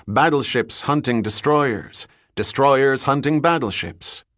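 A man's voice: mid-range voice, slow pace, a bit bright, dry recording, clean studio sound, voice pushed up. A man playing a blunt, commanding navy sea captain in an audiobook, emphatic and instructing as he explains. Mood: assured, explanatory. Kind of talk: dramatic audiobook character reading.

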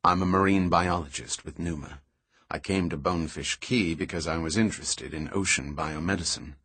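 A curious, forthright woman marine biologist asks plain, direct questions, as a man reads her. The voice stays deep and slightly husky. The sound is close and dry. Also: moderate pace, fairly bright tone, dry recording. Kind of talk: audiobook dialogue reading.

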